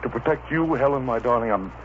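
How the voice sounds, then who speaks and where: Radio leading man, played by a male actor: impassioned, commanding, very expressive, thin noisy vintage sound. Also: medium pitch, fast, highs cut off, close to an everyday voice. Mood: urgent, frustrated. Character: ardent, protective, masterful gentleman lover. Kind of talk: old-time radio soap opera acting.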